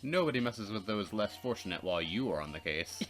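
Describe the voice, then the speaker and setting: Animated man with a bright, low voice. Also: a little fast. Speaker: let's player reading a visual novel aloud. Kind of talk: dramatic narration mixed with casual banter.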